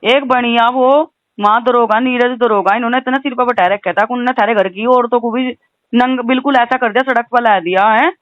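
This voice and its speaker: A woman's voice: on the high side, steady tone, a little fast, no echo. A woman with a western Uttar Pradesh accent talks on a recorded phone call in an insistent, aggrieved way, often adding questioning tags. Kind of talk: heated phone conversation.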